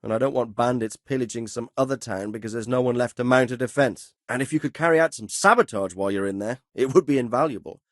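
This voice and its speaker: An actor playing a stern, dry-witted middle-aged medieval lord in a video game, speaking with formal, measured authority and a wry, sardonic edge. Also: male voice, mid-range voice, dry recording, natural voice. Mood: serious, commanding. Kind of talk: video game dialogue acting.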